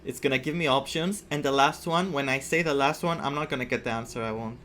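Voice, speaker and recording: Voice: mid-range voice, fast, a bit bright, slight background noise. A game streamer giving casual playthrough commentary, thinking aloud and reacting to the story. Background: game music.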